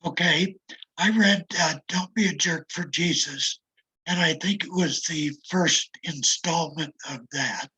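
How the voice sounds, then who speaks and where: A retired older man with a raspy, damaged voice, speaking slowly and reflectively as an interview guest, with a self-deprecating manner. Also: fairly high pitch, bright, forceful tone, low-quality recording. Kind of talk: casual conversation.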